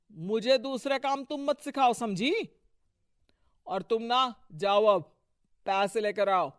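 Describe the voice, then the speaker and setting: A man's voice: high-pitched, clear, resonant tone. A man voicing characters in a cartoon moral story with a bossy, scheming manner. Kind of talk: cartoon voice acting.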